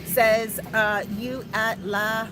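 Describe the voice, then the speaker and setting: A woman's voice: a little high, little pitch movement, very slow, very noisy. A woman chatting casually to viewers on a livestream, reading their messages aloud and speaking some simple Spanish as a learner, recorded on a handheld phone outdoors at a restaurant. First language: English. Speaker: vlogger on a livestream. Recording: loud music behind.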